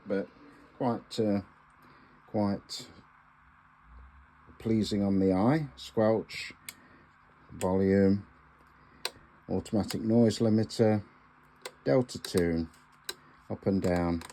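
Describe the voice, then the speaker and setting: A man in his sixties giving an informal hands-on demonstration as a radio hobbyist, in a halting, deliberate manner with frequent pauses. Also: on the low side, mellow, a little hiss or hum, poor audio. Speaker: amateur radio hobbyist presenting a video.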